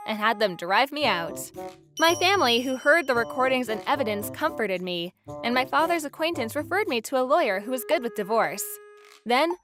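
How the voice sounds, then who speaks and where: A woman voices a young wife with a high voice, weary but resolute. She narrates a manga dub earnestly and matter-of-factly over music. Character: weary, resolute young wife telling her divorce story. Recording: music bed under the voice.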